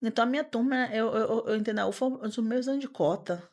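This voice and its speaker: A woman in her thirties speaking as a podcast guest, earnest and animated, often repeating words and using fillers as she talks. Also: a little high, fast talker. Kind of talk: conversational interview.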